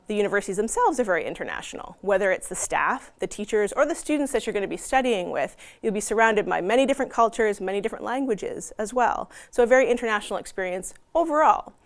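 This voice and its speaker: A woman's voice: medium pitch, animated, quick pace. A woman from Canada with a Canadian accent, giving an informational presentation in a friendly, explanatory way with frequent ums. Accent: Canadian English. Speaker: university outreach presenter.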